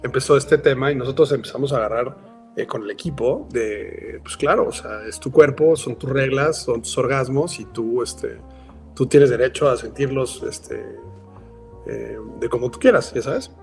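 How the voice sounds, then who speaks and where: A man's voice: medium pitch, normal speed, gravelly, a little mellow. Mexican man, founder guest, casual interview talk, lots of fillers, faint music behind. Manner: rambling, frequent "este" fillers.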